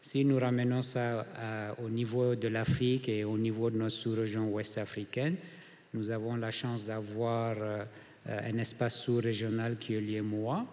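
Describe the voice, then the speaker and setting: A man from Mali speaking on a conference panel as a hotel group founder, measured with frequent hesitations. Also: on the low side, little pitch movement, a little mellow. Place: conference hall. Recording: reverberant conference hall.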